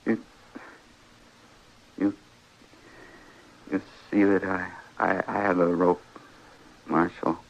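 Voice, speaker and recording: An actor playing a quiet, peculiar man fixed on justice in a radio western, speaking in a halting, repetitive, solemn way. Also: low-pitched, little pitch movement, vocal fry, full character voice. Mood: solemn, fixated. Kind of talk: 1950s radio western acting. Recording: dull old radio recording.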